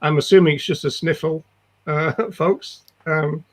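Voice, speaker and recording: Croaky older man on a call. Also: a little high, fairly even intonation, a little slow. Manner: rambling, hesitant, lots of restarts. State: croaky voice from a cold. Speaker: co-host. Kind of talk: casual video-call discussion. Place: home room.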